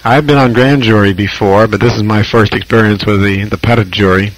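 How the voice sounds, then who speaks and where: American man, lowish voice, amateur radio operator, casual conversation, halting and reflective, long pauses, vintage shortwave radio sound. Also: steady tone, poor audio. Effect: shortwave radio transmission.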